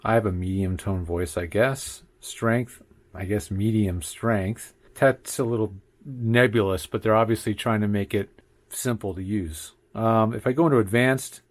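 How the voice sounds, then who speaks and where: A man's voice: lowish voice, dry recording. A man giving a casual tech tutorial in his home studio, talking into a condenser mic on a desk arm and counting off mic checks. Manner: relaxed, chatty, frequent mic checks and counting. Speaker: tech reviewer. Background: faint computer hum.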